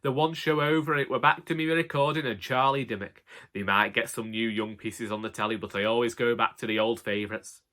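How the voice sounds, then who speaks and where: A man's voice: mid-range voice, fairly quick, hardly any pauses, natural voice. A man voicing a wry, long-suffering elderly husband with a Northern English accent in a colloquial comic audiobook monologue. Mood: wry, droll. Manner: colloquial, wry, deadpan grumbling. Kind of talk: first-person comic monologue, audiobook narration.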